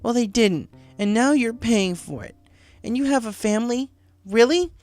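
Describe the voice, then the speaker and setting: A man's voice: very high voice, moderate pace, darkish tone, voice pushed up. A man voicing a brash, defiant young mare pony in an audiobook story, with a blunt and accusing manner. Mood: hurt, angry.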